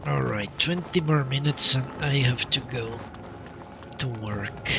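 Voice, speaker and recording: A man giving casual live commentary on a game he plays, halting and muttering to himself in a self-deprecating way. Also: medium pitch, creaky, background noise. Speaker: game streamer.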